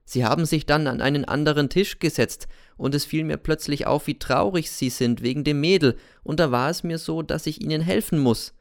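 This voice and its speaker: A man's voice: on the high side, a little fast, vocal fry, hardly any pauses, voice pushed up. A man reading aloud and voicing a sly, secretive older man who talks with urgent, insinuating eagerness. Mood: confiding.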